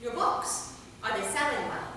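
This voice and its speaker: A woman playing a warm, chatty centuries-old vampire in a staged play reading, conversational and gently probing. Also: a little high, expressive, moderate pace. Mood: chatty, teasing. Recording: echoey hall, distant mic, faint noise.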